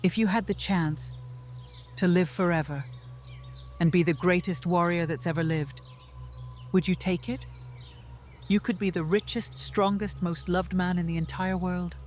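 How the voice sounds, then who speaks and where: A woman playing an enigmatic vampire, a motherly figure who speaks slowly and deliberately, soothing and persuasive, in a fantasy horror audio drama. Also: fairly low pitch, fairly even intonation, slight background noise, natural voice. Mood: seductive, persuasive. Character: enigmatic, coaxing female vampire, motherly mentor to an orphan.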